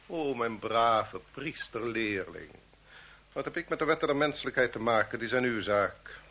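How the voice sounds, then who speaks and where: A muffled, noisy old radio play recording carries a haughty marquis. He is a cruel, mocking aristocrat with pompous, formal phrasing and a pitch that swings freely. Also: expressive, unhurried, long pauses. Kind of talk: Dutch radio drama acting.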